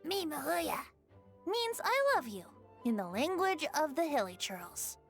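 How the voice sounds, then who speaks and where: A whimsical young bard with a high, boyish lilt. The delivery is airy, playful and very animated, theatrical video game acting over faint music. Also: female voice, unhurried, exaggerated voice.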